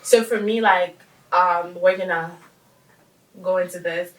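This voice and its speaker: A woman with a lowish voice talks in an easy, conversational way. She fills her speech with "like" and "um" and laughs often. She sits back from the mic in a room with a lot of echo. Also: steady tone, unhurried, far from the mic. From Haiti. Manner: laughs often, many fillers. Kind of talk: casual conversation.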